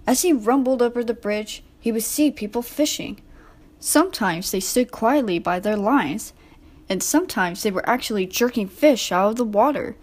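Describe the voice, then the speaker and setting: A woman reading a scripted children's story as narrator. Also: medium pitch.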